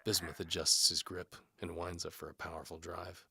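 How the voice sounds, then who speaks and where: Low gravelly man, hardboiled audio drama narration, deadpan, world-weary mercenary detective, forceful edge, music behind. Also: moderate pace, heavy background noise. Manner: wry, deadpan. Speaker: narrator and lead actor.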